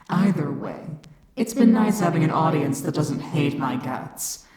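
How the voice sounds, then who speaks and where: A woman voicing a twisted female angel with a measured, wry, darkly polite manner in a scripted audio drama. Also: low voice. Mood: wry, grateful. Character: slow, dry-humoured, twisted female angel. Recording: echoing room sound.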